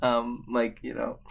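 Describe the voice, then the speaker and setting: A man's voice: medium pitch, creaky, a bit bright. A man playing a tabletop game, describing characters off the cuff and searching for words as he goes. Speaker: tabletop roleplay player.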